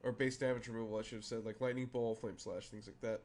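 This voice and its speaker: Raspy unwell man hosting a deck walkthrough. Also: fairly low pitch, flat delivery, a little mellow, a little hiss or hum. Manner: halting, many fillers and restarts. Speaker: host. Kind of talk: casual card game deck walkthrough.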